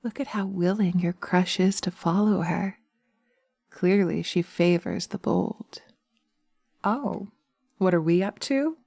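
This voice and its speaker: A woman playing a teasing, sweetly sinister witch in a hypnosis roleplay, coaxing slowly and soothingly into a close binaural mic, often dropping to a whisper. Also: fairly low pitch, gravelly, darkish tone, dry recording, heavily acted. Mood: gloating, teasing. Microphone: close binaural microphone.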